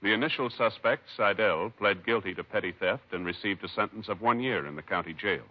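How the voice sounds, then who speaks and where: A man with an American accent announcing in a solemn, measured, formal 1950s radio style. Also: fairly low pitch, noisy background. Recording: muffled old radio recording, music behind. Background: music.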